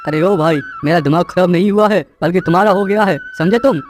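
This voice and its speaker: A man playing a cunning, bossy young village schemer in an animated moral story. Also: high-pitched, a little fast, soft background music, lightly acted. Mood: feigned concern.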